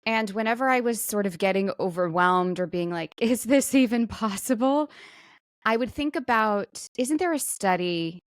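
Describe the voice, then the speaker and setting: A woman's voice: mid-range voice, dry recording, studio quality. A woman co-hosting a podcast in casual, conversational chat, with plenty of "like" and trailing asides. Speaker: podcast co-host; actor.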